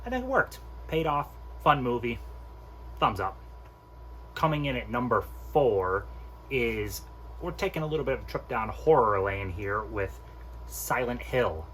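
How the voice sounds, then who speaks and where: A man's voice: medium pitch, big pitch swings, a little slow, fairly bright tone, a little hiss or hum, rough recording. A man hosting a casual movie countdown review, speaking informally and with strong opinions, with some mild swearing. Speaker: host of a movie countdown video.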